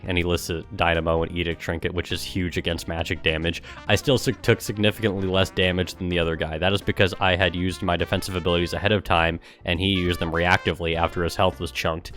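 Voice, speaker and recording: A man's voice: sits low, steady tone, quick pace, hardly any pauses, a bit bright, soft background music. A man doing casual, mocking livestream commentary, sometimes reading aloud in a sarcastic way. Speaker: streamer and gamer.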